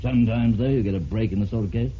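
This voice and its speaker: An actor playing a gruff, dry police inspector in a vintage radio drama. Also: male voice, on the low side, fast, close to an everyday voice. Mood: matter-of-fact. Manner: blunt, dry. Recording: muffled vintage radio with faint noise.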